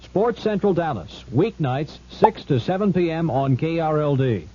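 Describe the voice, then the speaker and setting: A man's voice: a little high, expressive, normal speed, dark tone, slight background noise. A man hosting a sports radio show, reading a scripted promo. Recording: muffled old radio broadcast.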